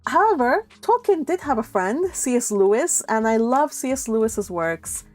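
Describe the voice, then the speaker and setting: A woman's voice: on the high side, very animated, moderate pace, faint music behind. A woman hosting a read-along livestream, chatting casually and reading out viewer comments in a gushing, giggly, rambling way. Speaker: livestream host of a book read-along channel.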